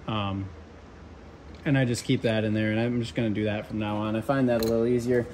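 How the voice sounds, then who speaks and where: A man's voice: medium pitch, slight background noise. Chatty young man showing off his tools. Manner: relaxed, chatty, with frequent ums and asides. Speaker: HVAC control technician. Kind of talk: casual tool walkthrough. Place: workshop with pegboard and workbench.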